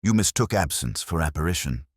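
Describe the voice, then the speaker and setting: A man playing a grave, calm, commanding Victorian detective, speaking in a measured, quietly incisive way in an audio mystery. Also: rumbling low, no echo, clean studio sound. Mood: calm authority.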